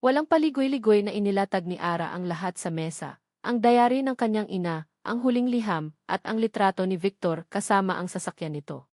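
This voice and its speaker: A woman reading a scripted dramatic love story as narrator, in a measured storytelling manner. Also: mid-range voice, animated, a little mellow, dry recording, clean studio sound.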